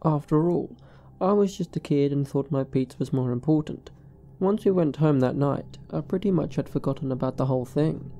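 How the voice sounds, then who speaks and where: Female horror narrator, deeply mellow timbre, measured scripted read. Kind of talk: scripted first-person narration.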